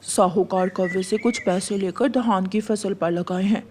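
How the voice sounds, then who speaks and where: A man's voice: high voice, steady tone, moderate pace, a little mellow, a little hiss or hum, close to an everyday voice. A man voicing a hardworking, worried bird farmer in a cartoon, earnest and plain-spoken. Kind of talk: cartoon voice acting.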